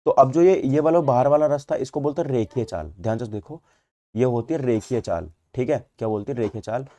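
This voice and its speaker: A man's voice: medium pitch, brisk, mellow. A man with a North Indian accent giving an explanatory physics lecture as a teacher, repeating points and answering his own questions. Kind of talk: explanatory exam-prep lecture.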